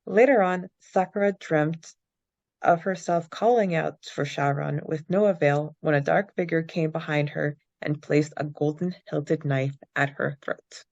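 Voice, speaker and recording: Podcast co-host: young woman, low voice, recap and book-club style, slightly lo-fi mic. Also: steady tone, a little slow, darkish tone. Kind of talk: reading a recap, then casual book-club chat.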